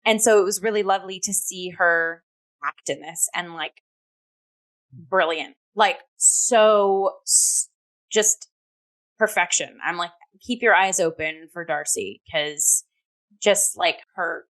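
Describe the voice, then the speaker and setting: Female talk co-host, slightly low register, effusive and halting, conversational reviewer. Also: a bit bright. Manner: effusive, halting, heavy use of "like". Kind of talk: casual review chat.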